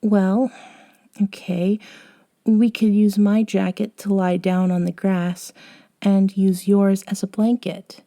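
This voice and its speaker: A woman acting as a gentle, caring young woman in an intimate ASMR audio drama. Her voice is dark and mellow, and she talks slowly and hesitantly. She often softens into a breathy whisper, with a tender, slightly wistful temper. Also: mid-range voice, flat delivery. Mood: shy, tender. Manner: soft, hesitant, often sighing and dropping to a whisper.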